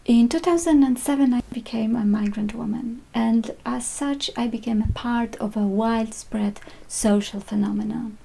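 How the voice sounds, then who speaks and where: Woman, artist, reflective, hesitant pauses, dark mellow tone. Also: fairly high pitch, vocal fry, slightly rough. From Poland. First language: Polish. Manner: measured, with frequent hesitations. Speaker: visual artist. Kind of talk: reflective talk on her art practice.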